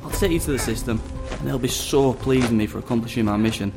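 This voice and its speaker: A smug, menacing double agent acted by a man in a sci-fi audio drama. He taunts and talks down in a possessive, mid-pitched voice over clear background music. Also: fast, lightly acted. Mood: taunting menace. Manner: taunting, condescending.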